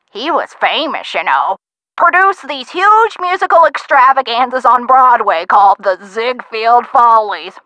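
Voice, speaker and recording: A woman's voice: a little high, bright, forceful tone, no echo, lo-fi sound, heavily acted. An actress playing a feisty older woman, a gangster's chatty former sweetheart in a video game, with theatrical, folksy old-time slang. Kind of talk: video game character dialogue.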